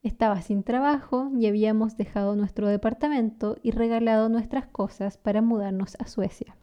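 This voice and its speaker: A woman's voice: a little high, fairly even intonation, moderate pace, smooth, dull audio. Chilean woman in her thirties hosting a podcast. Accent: Chilean Spanish. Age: 30s. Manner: warm, storytelling, laughs often. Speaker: podcast host and teacher. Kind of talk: scripted podcast monologue.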